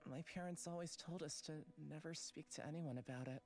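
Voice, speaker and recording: A woman's voice: sits low, brisk, a little mellow, voice pushed down, lightly acted. A woman acting a shy, timid young man. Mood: subdued, confiding. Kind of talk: visual novel character reading. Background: music.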